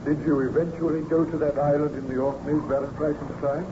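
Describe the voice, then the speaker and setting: An actor playing a courteous, attentive ship's captain with formal, deliberate diction in an old radio drama style. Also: male voice, a little high, little pitch movement, lightly acted. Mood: courteous, inquiring. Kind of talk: 1930s radio drama acting. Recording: muffled, noisy old radio recording.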